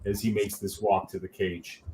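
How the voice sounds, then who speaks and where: A man's voice: on the low side, flat delivery, normal speed, warm tone, slight background noise, poor audio. Laid-back man chatting fight talk. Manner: laid-back, conversational, chuckling. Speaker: podcast co-host. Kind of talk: casual sports watch-along chat.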